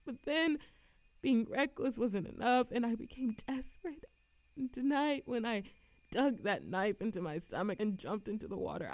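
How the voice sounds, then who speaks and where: A woman playing a lovesick, defensive immortal in an audio roleplay, speaking in a halting, emotional way to the listener. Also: fairly high pitch, a little mellow, dry recording. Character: lovesick immortal woman, defensive, reckless, confessing to a Grim Reaper. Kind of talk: audio roleplay monologue, acted intimately to the listener.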